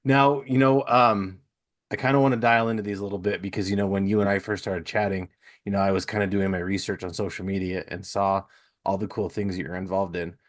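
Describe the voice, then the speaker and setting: A man with a fairly low voice hosts a casual interview podcast. He talks in an informal, chatty way, and the sound is dry and close, with little room in it. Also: little pitch movement, brisk, no room sound. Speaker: podcast host.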